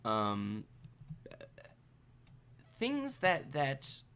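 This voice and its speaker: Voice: mid-range voice, huge pitch swings, extremely slow, a bit bright. A game master narrating an improvised tabletop game in a conversational, hesitant way.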